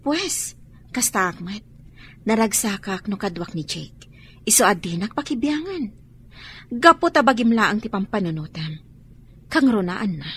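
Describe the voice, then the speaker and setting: An actress playing a defiant wife in a radio drama, bitter and firm, with an Ilocano accent. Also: female voice, mid-range voice, big pitch swings, measured pace, long pauses, a little hiss or hum. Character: Elena, a defiant wife leaving her marriage.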